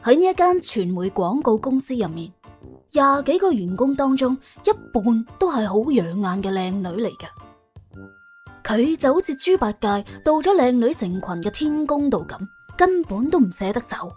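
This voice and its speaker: A woman's voice: on the high side, very animated, moderate pace. A woman with a Cantonese accent narrating an audiobook as dramatic, wry storytelling. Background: soft background music.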